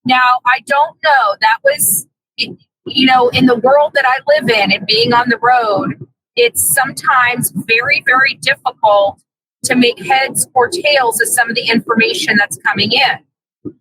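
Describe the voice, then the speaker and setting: Woman, highish voice, slow measured delivery, hedging, noisy background. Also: expressive, sharp tone, slightly rough, no echo. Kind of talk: live news update.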